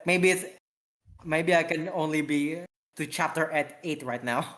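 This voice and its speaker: An amateur reading fan fiction aloud and chatting casually, halting and often repeating words. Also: medium pitch, a bit bright, a little hiss or hum. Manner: halting, stumbling over words and repeating them. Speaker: author reading own story aloud.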